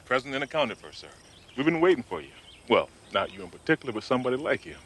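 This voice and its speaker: Lively, breezy army captain. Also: male voice, medium pitch, quick pace, a little hiss or hum. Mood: wry welcome. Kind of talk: television science fiction drama acting.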